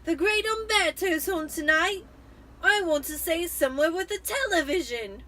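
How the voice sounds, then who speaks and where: Woman as a high, whining spoiled boy. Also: animated, moderate pace. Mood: sulky whining. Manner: loud, whiny complaining. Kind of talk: dramatic storybook reading.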